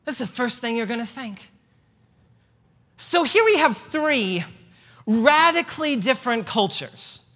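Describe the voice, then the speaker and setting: A man with a Midwestern accent lectures to a room in a loose, joking way, full of asides and fillers. His voice is bright and forward. A long hall reverb trails each phrase. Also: extremely high pitch, sing-song, unhurried, long pauses. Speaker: church history speaker. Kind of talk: lecture with slides.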